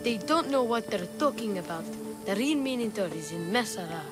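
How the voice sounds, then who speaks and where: A woman playing an eager, feisty young boy in a video game, earnest and excitable. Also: medium pitch, fairly quick, over music, close to an everyday voice. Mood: insistent. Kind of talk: video game character acting.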